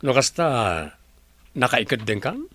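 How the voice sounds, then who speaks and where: Radio drama casting: lovesick young Filipino man, scheming suitor, medium-pitched voice. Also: sing-song, slow pace, sharp tone, no echo, full character voice. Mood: pressing. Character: lovesick, scheming young male suitor seeking a business partnership.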